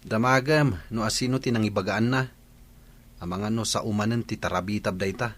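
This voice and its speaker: An actor with an Ilocano Filipino accent playing a stern husband and dutiful son in a comedy radio drama. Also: male voice, fairly low pitch, fairly even intonation, fairly quick, lightly acted. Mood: scolding.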